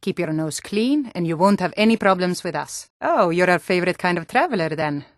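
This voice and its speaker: An actress voicing plain-spoken Nord townswomen, guards and traders in scripted fantasy video game dialogue. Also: female voice, fairly low pitch, lively intonation, fairly quick, dry recording, studio quality, natural voice. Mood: brisk, stern.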